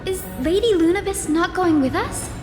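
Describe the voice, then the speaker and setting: A little game companion with a high, childlike voice. She sounds inquisitive and wide-eyed, over loud music. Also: lively intonation, moderate pace. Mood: curious, surprised. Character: small, childlike, curious female companion. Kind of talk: scripted fantasy game dialogue.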